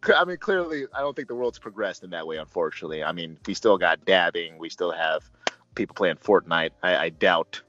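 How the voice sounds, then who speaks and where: Deep-voiced man chatting casually about movies. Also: expressive, fairly quick, a bit bright, dull audio, soft background music. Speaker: movie review host. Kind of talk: casual conversational movie review.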